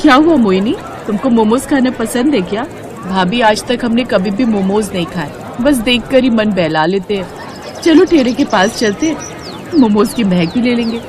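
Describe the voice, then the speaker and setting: A woman's voice: a little high, expressive, moderate pace, background noise, natural voice. A woman voicing simple, friendly and spirited young village sisters-in-law in a cartoon story. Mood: friendly, wistful. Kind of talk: Hindi moral cartoon story acting.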